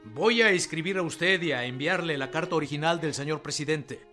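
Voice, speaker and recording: An actor playing an earnest government envoy in a radio drama, formal, respectful and insistent, with a Mexican accent. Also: male voice, fairly high pitch, a little fast, sharp tone, faint music behind. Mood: anxious.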